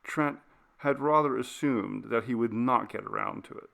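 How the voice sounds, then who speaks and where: A man narrating a literary ghost story as an audiobook reader, with measured, deliberate phrasing. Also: mid-range voice, creaky, fairly bright tone.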